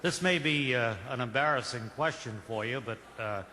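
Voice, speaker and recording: A man playing an earnest, halting, blunt sports interviewer with a deadpan manner in a radio comedy sketch. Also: medium pitch, in a large hall, natural voice.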